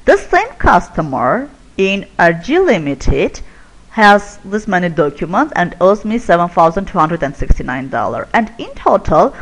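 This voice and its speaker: Lively-pitched woman walking through a software demo. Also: poor audio. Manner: steady step-by-step explaining while clicking through screens. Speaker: software product presenter.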